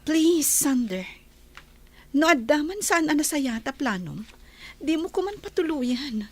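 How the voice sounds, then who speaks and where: A woman's voice: high voice, lively intonation, slow. An actress with an Ilocano accent playing an earnest, pleading young wife of a rich man. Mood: worried.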